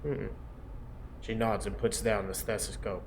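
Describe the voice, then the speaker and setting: A man's voice: on the low side, level tone, normal speed, a bit bright, a little hiss or hum. A man reading scripted visual novel narration aloud.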